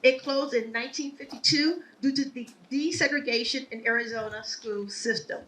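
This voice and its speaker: Bright-voiced woman giving a speech. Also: a little high, recorded from a distance, poor audio. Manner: earnest, measured delivery of a family history. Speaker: ceremony speaker. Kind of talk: prepared speech at a ceremony. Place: outdoor ceremony.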